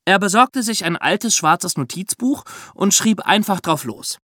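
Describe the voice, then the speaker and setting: A man's voice: sits high, lively intonation, a little slow, pushed and bright, no echo, studio quality. A man hosting a podcast, telling a true-crime story in a colloquial, explanatory way. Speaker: podcast host.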